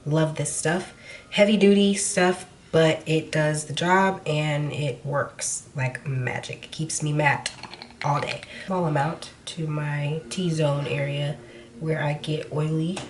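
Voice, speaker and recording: A young woman with a low, slightly nasal voice, just over a cold, talking casually to her viewers as she applies makeup. She is frank and chatty. A faint hiss sits behind her. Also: fairly even intonation, slow. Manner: casual, frank, talks while applying makeup. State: nasal after being sick. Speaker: beauty vlogger. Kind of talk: chatty makeup tutorial talk.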